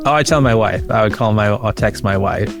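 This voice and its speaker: A man in his early fifties, originally from Sri Lanka, speaks as a guest in a casual podcast conversation. His voice sits on the low side. He is close to a desk microphone, with guitar music clearly playing behind him. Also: a little fast. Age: early 50s. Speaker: interview guest, founder. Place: living room at home, indoors. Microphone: close desk microphone on a video call.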